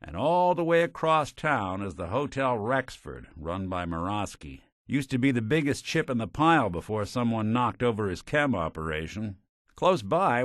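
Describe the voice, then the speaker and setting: A man's voice: lowish voice, fairly bright tone, no room sound, studio quality. A man voicing a weary, wry old-school detective robot with an American accent and hard-boiled noir phrasing, in a video game. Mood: wry, knowing.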